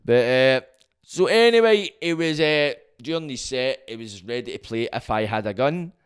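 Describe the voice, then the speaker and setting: A Glaswegian man hosting his own podcast, rambling and swearing freely in a loud, booming voice. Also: animated, moderate pace, smooth. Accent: Scottish, Glaswegian. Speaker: podcast host. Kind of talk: casual monologue.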